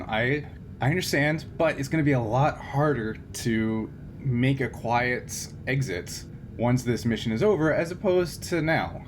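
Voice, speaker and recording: A man voicing a calm, persuasive male agent in a tabletop game. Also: mid-range voice, slight background noise, lightly acted. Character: mysterious male agent Wolfgang, smooth persuader.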